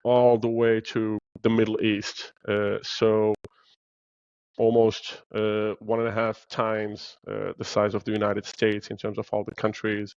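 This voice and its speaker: A man's voice: fairly low pitch, monotone, unhurried, darkish tone, smooth, dry recording, highs cut off. A man originally from Morocco, a podcast guest explaining things earnestly with frequent "uh" fillers. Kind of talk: podcast interview.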